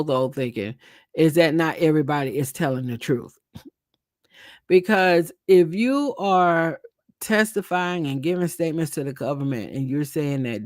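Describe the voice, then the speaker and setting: A congested man with a stuffy, nasal sound hosts a live stream, talking in a loose, chatty way as he thinks things through aloud. His voice sits a little high for a man, and the recording is dry and close, with no room sound. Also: dry recording. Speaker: livestream host. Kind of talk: live commentary on a court trial.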